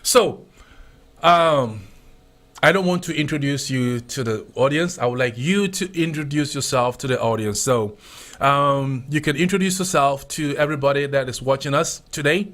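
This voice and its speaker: A man with a Ghanaian accent hosting a casual radio chat show, animated and prone to repeating himself. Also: mid-range voice. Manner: animated, repeats phrases, drawn-out spelling of contacts. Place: radio studio.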